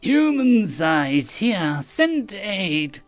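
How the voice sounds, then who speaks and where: A man puts on a simple-minded alien grunt for a sci-fi audiobook. The voice is raised and swings about, with clipped, halting broken phrases over music. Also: high voice, sing-song, pitched above the performer's own voice, heavily acted. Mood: alarmed.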